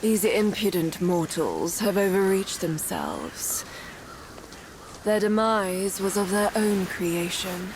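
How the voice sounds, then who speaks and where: An actress playing a calm, lofty female immortal in a video game, soft and measured with whispery moments. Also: mid-range voice, background noise. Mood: serene. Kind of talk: video game cutscene acting. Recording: game audio over ambience.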